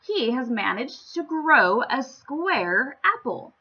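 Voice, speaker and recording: A woman voicing a pompous storybook king who makes stately, drawn-out proclamations to a crowd in a children's read-aloud. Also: sits high, very animated, sharp tone, husky, dull audio, exaggerated voice. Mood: grandly announcing.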